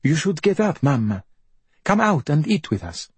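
A man's voice: on the high side, very animated, moderate pace, breathy edge, no echo, voice pushed up, full character voice. A man playing a dutiful young Italian son, earnest and patient but exasperated, in an audiobook. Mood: coaxing, dutiful.

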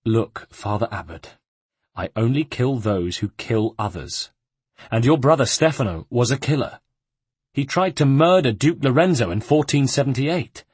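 A man reading an earnest, patient adventurer in an audiobook, speaking in a measured, courteous, firm way. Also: mid-range voice, animated, a little mellow, slightly rough, no room sound, pitched above the performer's own voice. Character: earnest, patient male Italian adventurer seeking information.

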